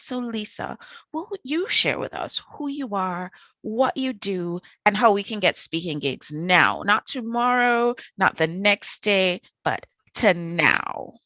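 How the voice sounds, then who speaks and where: Effusive Trinidadian woman, lively pitch. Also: no echo. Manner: effusive, enthusiastic. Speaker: host. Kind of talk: online networking call. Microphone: video call.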